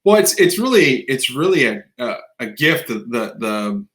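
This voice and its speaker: A man's voice: mid-range voice, very animated, moderate pace, a bit bright. A man hosting a podcast interview, talking in a loose, rambling way with many restarts. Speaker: podcast host. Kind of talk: conversational interview.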